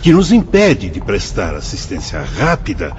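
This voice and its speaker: A wise male spirit guide with a Brazilian Portuguese accent, speaking in measured, patient explanations in a radio drama. His pitch rises and falls in a lively way. The recording sounds dull and muffled. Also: slight background noise, heavily acted. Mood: patient, instructive. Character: calm, wise adult male spirit instructor.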